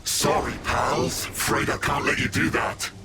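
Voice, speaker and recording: A man's voice: medium pitch, measured pace, heavy background noise, lightly acted. A British man playing a smug, condescending villain in a video game, taunting his foes in a casual, mocking way. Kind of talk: video game cutscene acting. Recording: game music, rain and thunder behind.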